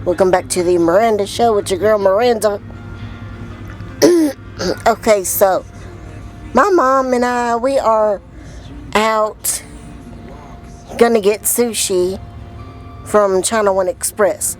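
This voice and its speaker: Slow-paced young woman vlogging casually. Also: mid-range voice, soft background music. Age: 20s. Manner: informal, slangy, exclamatory. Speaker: vlog host. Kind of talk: casual vlog chat.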